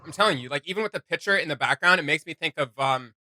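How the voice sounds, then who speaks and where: Male reaction host: higher-pitched, bright, enthusiastic and casual, slangy delivery. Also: fast talker, dry recording, clean studio sound.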